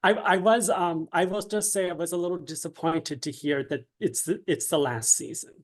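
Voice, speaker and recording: A man speaking as an interview guest in a casual, polite, mild and somewhat hesitant, measured way. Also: sits high.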